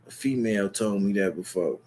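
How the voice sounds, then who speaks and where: A man's voice: fairly low pitch, fairly quick, warm tone, breathy edge. A young man with a Chicago accent, talking straight to his viewers in a long, boastful, profane and rambling monologue. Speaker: YouTube streamer.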